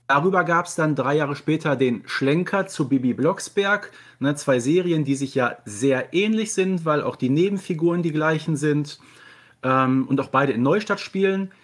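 Male podcast guest, slightly high voice, detailed explanatory delivery in casual discussion. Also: moderate pace.

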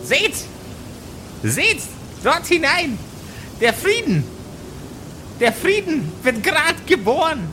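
A woman voicing an excitable goblin in a tabletop audio play, urgent and grandiose as it proclaims a prophecy of peace. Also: high-pitched, takes it slowly, long pauses, soft background music, exaggerated voice. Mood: awed, excited.

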